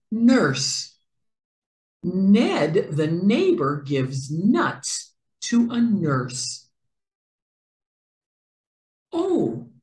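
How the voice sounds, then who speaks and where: A middle-aged woman reading a children's picture book aloud in an expressive, deliberate storytelling manner with careful enunciation. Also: medium pitch, echoey room. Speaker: read-aloud host for children.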